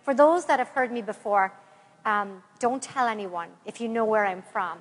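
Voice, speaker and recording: A woman in her forties with an Irish accent, giving a parish talk to a congregation and leading them in prayer. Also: on the high side. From Ireland. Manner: lively, warm address to a mixed-age congregation, then slow measured prayer reading. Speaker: retreat speaker. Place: large church sanctuary. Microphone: far camera in the pews. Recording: long church reverb from far off.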